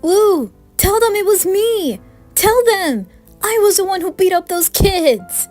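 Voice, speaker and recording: A woman voicing a distraught teenage boy in a horror story, loud and whiny. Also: very high voice, lively intonation, slow, soft background music, pitched above the performer's own voice, full character voice. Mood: desperate. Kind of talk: amateur creepypasta dramatized reading.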